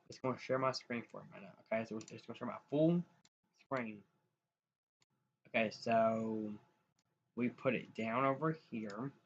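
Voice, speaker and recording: A young man from the United States talks casually to his viewers while sharing his screen, rambling and restarting as he goes. He keeps calling them y'all. His voice comes through a dull, lo-fi mic with faint hiss behind it. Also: medium pitch. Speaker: YouTuber. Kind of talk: casual screen-share vlog talk.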